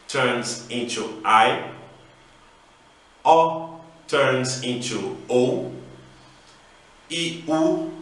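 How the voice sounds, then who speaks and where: Slow, careful Brazilian-accented English teacher. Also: male voice, mid-range voice, bright, forceful tone. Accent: Brazilian Portuguese. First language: Brazilian Portuguese. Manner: slow, careful, spelling out sounds one by one. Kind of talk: recorded online lesson. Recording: echoey room, voice away from mic.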